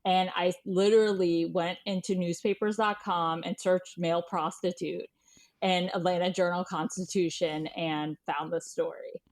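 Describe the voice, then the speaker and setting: A woman's voice: on the low side, steady tone, moderate pace, darkish tone. A woman, a journalist being interviewed, telling a story in an informal, chatty way. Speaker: interview guest, journalist and historian.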